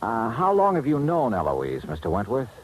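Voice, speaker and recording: A man's voice: mid-range voice, huge pitch swings, moderate pace, darkish tone, low-quality recording. A man playing a wry, probing insurance investigator in 1950s American radio drama style, with clipped hardboiled delivery and an American accent. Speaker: lead actor and narrator of radio detective series. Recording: vintage 1950s radio recording, music behind.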